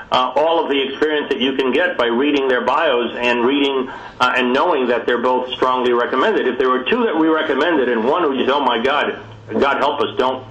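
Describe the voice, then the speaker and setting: A man making his case in a telephone conference discussion, formal and deliberate, with halting repeats. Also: mid-range voice, a bit bright, highs cut off. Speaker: committee call participant. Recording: echoey telephone conference call.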